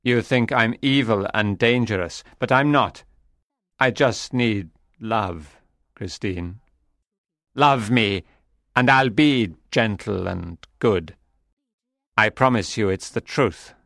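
A man's voice: on the low side, slow pace, long pauses, fairly bright tone, no echo, clean studio sound. A man voicing a menacing, lovesick madman in audiobook dialogue. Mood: soft, pleading. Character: menacing, lovesick madman, pleading then angry.

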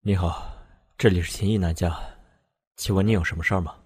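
A man playing a reserved, terse man with a husky voice, answering a household phone in an audio drama. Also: deep voice, steady tone, a little fast, heavy background noise, lightly acted. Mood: restrained. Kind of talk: Chinese amateur audio drama.

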